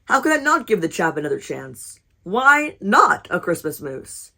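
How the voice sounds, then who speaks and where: A woman's voice: on the low side, sing-song, a little slow, gravelly, sharp tone, heavily acted. Woman reading as a kindly, puzzled storybook Santa. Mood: reconsidering. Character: kindly, puzzled Santa recounting a job search. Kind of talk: storybook read-aloud.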